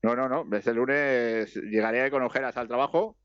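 A man's voice: mid-range voice, normal speed, no room sound, highs cut off. A man with a Spanish accent giving casual live football commentary, rambling along and lifting his voice on attacks. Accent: Spanish from Spain. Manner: rambling, lifts to excited calls on attacks.

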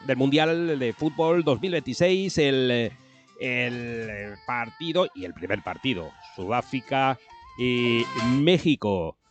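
A playful man co-hosting a live football show, teasing his partner and throwing to the break. His voice is mid-pitched for a man. Faint music plays behind him. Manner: playful, bantering. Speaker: co-host. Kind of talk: casual live match commentary.

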